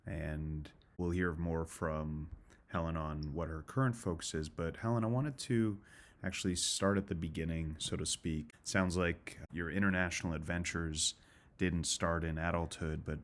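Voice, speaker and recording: Very deep-voiced male podcast host. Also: fairly even intonation, normal speed. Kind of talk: scripted intro then live chat hosting.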